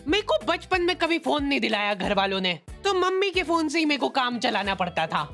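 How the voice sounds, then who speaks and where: A man's voice: very high-pitched, sing-song, brisk, creaky, pushed and bright, faint music behind. A man narrating a comedy cartoon in a casual, brash, mock-serious storytelling style. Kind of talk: casual comic storytelling for animation.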